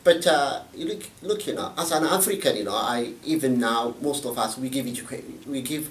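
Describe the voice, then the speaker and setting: A middle-aged man from Ethiopia whose first language is Afan Oromo, talking as an interview guest in a halting way with many fillers and restarts. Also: mid-range voice, roomy sound, distant mic, a little hiss or hum. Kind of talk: casual interview chat.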